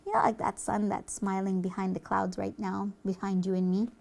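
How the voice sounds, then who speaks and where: A woman presenting a puppet show, teaching religion to children conversationally in an explanatory, gently admonishing manner. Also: medium pitch, little pitch movement, normal speed, creaky.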